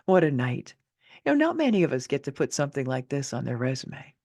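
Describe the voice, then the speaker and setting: Expressive woman reading her own memoir, dry sound. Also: a bass voice, a little fast, dry recording. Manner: candid, wry, conversational asides. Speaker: author and narrator.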